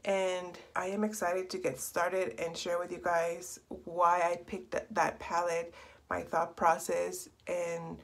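Young woman kinda hoarse from being sick, rambly makeup chat, close to the camera in her bedroom. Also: lowish voice, completely flat delivery, slow pace. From Texas. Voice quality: slightly raspy. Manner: chatty, rambling, self-correcting. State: hoarse from a cold. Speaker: beauty vlogger. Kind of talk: casual get-ready-with-me chat. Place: small bedroom in front of a door.

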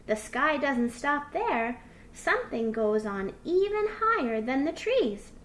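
A woman plays a storybook mother fox who explains things patiently and soothingly. Her voice is high and slow, with melodic rises and falls, as if teaching a small child. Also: very animated, heavily acted. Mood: gently teaching.

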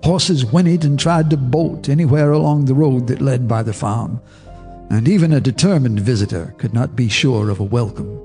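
Grave measured narrator, a man as an old neighbor, mid-pitched voice, faint music behind. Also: mellow, natural voice. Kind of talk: scripted storytelling narration.

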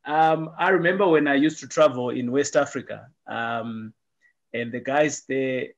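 A man's voice: mid-range voice, measured pace. Upbeat middle-aged guy hosting a video call chat, kinda dull lo-fi sound. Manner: upbeat, repeats phrases like "awesome stuff". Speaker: host. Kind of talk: casual video-call interview.

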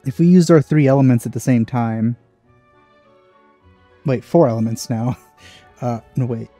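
Very dark-voiced male gameplay commentator. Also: mid-range voice, measured pace, no room sound. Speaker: let's play commentator. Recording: game music behind the voice. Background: game music.

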